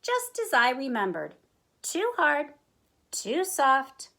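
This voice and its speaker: A woman plays a bossy storybook lady, older and very pleased with herself. The voice is high and swoops about as she gushes in a hoity-toity, exclaiming manner. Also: wildly swooping pitch, takes it slowly, pushed and bright, full character voice. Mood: critical. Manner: hoity-toity, exclaiming, breezily self-congratulatory.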